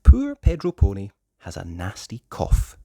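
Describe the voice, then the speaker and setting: A storyteller reading a children's picture book aloud with expressive, measured scripted narration. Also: low voice, no echo, clean studio sound.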